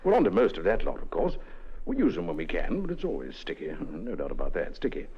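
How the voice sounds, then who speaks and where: An actor playing an eccentric upper-class English spymaster captain in a 1950s radio drama, husky, clipped and chatty, forever adding little tag questions. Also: male voice, deep voice, huge pitch swings, quick pace, full character voice. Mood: confiding, grave. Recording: dull vintage radio recording.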